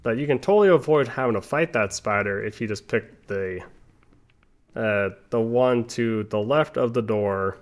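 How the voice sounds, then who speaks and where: A young man talking at a fairly low pitch, chatting his way through a game session. His commentary wanders into side stories and is full of ums and restarts. He stays relaxed as he walks the viewer through each step. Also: normal speed. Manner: rambling, chatty asides with frequent ums and restarts; relaxed, laid-back guiding of the viewer. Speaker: gaming channel host. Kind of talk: casual let's-play commentary.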